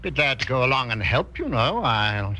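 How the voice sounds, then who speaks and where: An actor playing an eager, genial retired colonel, older, hesitant and politely old-fashioned, in a 1940s radio drama. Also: male voice, mid-range voice, sing-song, normal speed, pushed and bright. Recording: dull, noisy old radio recording.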